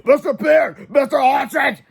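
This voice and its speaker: A man's voice: very high voice, measured pace, gravelly, voice pushed up, full character voice. A man voicing a grouchy, bossy old male school principal in a storybook. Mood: furious. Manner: shouting, bossy.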